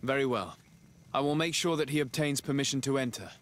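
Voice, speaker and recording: Game role: polite young diplomat male actor, formal and steady, with clear noise behind the voice. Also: medium pitch, fairly quick, lightly acted. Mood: composed, formal. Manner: formal, composed. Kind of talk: video game character dialogue.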